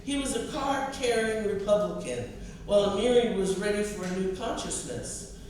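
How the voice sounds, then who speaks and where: An American woman in middle age reads her poetry and personal prose with measured, expressive care. The recording is very reverberant, with the microphone at a distance and clear noise behind her. Her phrasing is deliberate and literary. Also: medium pitch. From US East Coast. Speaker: poet and college professor reading own work. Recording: distant mic, echoey room, clear noise.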